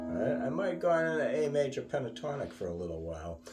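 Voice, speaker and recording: A man giving an informal guitar lesson, thinking aloud in a musing, halting way and often checking in with a questioning tag. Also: mid-range voice, big pitch swings. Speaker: guitar teacher. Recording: roomy, distant mic, loud guitar. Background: acoustic or electric guitar playing.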